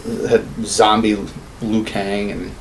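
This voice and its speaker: A man's voice: on the low side, flat delivery, takes it slowly, a little hiss or hum, poor audio. A man in his thirties chatting casually about gaming as a podcast co-host, cursing freely in loose slang. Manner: profane, slangy. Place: small home studio room. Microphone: desk boom microphone.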